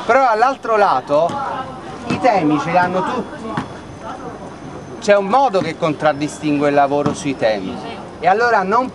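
A man's voice: high voice, background noise. A man in his thirties speaking earnestly to a crowd at an outdoor night meeting in a city square, often asking rhetorical questions, recorded by a camera at a distance. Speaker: activist at a public meeting. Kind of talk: impassioned speech to a crowd. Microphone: far camera.

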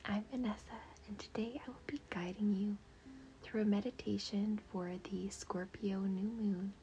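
A woman leading a guided meditation in a soft, breathy, half-whispered voice, gentle, soothing and unhurried. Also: medium pitch, fairly even intonation, dull audio, a little hiss or hum.

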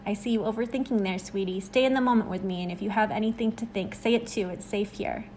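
A woman's voice: medium pitch, fairly even intonation, quick pace, darkish tone, close to an everyday voice, poor audio. A woman playing a playful, teasing young extrovert. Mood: reassuring. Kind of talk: acted short-story dialogue. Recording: clear background noise and ambience.

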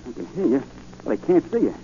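An actor playing a jumpy, shady small-time crook with rough, slangy speech, in 1940s radio drama style. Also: male voice, medium pitch, very animated, quick pace, dark tone, natural voice. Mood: startled, confused. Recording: muffled, lightly noisy 1940 radio recording.

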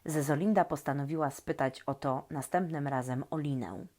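A woman reading a children's story expressively and talking gently and directly to young listeners. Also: sits low, normal speed.

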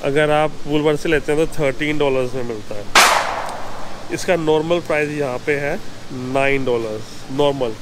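A man with a Haryanvi accent talks casually to camera as a vlogger. His voice sits a little high, and he explains things with English terms mixed in. The handheld recording carries clear background noise. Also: normal speed. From Haryana, India. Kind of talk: casual shopping vlog narration. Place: car, car park and warehouse store. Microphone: phone or camera at arm's length. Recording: noisy handheld recording with ambient rumble.

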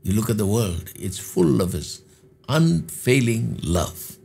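Older Indian male pastor, low husky voice, deliberate studio sermon delivery. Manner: slow, expressive preaching with repeated phrases. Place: studio with dark backdrop, indoors.

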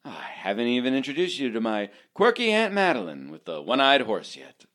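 A man's voice: medium pitch, wildly swooping pitch, brisk, fairly bright tone. Man playing a smug, swooping small-town sweetheart. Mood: cheerful, smarmy. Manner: patronizing, saccharine. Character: smug, folksy small-town romantic hero, cheerfully sexist. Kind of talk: parody radio play acting.

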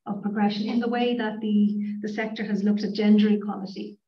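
Dublin woman panelist, level even delivery, heard from across an echoey conference room. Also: mid-range voice, fairly quick. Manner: earnest, many "you know" fillers. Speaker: university panelist. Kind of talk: panel discussion. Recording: echoey room mic from far off, dull sound.

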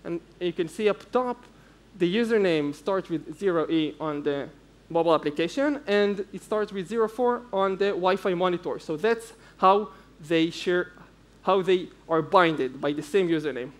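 High-pitched young man presenting a tech talk. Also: normal speed. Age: young adult. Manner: informal, explaining step by step. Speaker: conference speaker, security researcher. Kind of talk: technical conference presentation. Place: indoor stage at a conference.

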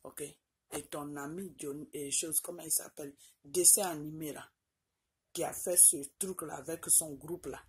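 A woman's voice: deep voice, steady tone, moderate pace, a little mellow, breathy edge. A middle-aged woman from Côte d'Ivoire with an Ivorian French accent, talking straight into her phone camera from a small room, insisting on her points and checking in with "ok?" again and again. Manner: insistent, repeats points with "Ok?" tags. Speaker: vlogger. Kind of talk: direct-to-camera personal address. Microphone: phone camera at arm's length.

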